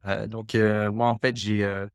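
A man's voice: lowish voice, little pitch movement, unhurried, gravelly, clear, resonant tone, dry recording, studio quality. A man from Quebec, a podcast guest who speaks with many hesitations and restarts. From Canada (Quebec). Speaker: guest, development researcher. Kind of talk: podcast interview.

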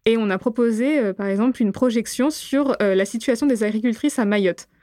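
A young woman from Réunion, whose first language is Gujarati, answering interview questions thoughtfully with many pauses and fillers. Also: a little high, warm tone, no room sound, clean studio sound. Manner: thoughtful, frequent hesitations. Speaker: guest.

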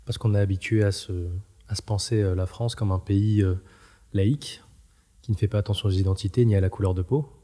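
A man acting as interviewer, speaking in calm, measured remarks. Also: lowish voice.

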